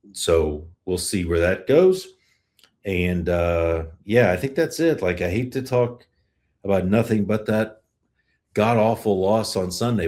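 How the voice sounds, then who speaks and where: Male sports podcast host, low register, casual commentary delivered in short, punchy, conversational statements.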